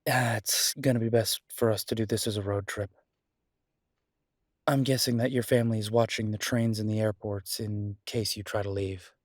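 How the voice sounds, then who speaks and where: A man playing a devoted, stubborn, protective werewolf detective in an intimate audio roleplay, speaking earnestly and insistently with emphatic pauses. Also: lowish voice, level tone, dry recording, studio quality, close to an everyday voice. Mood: calm, planning. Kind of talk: dramatic roleplay audio acting, intimate one-sided dialogue.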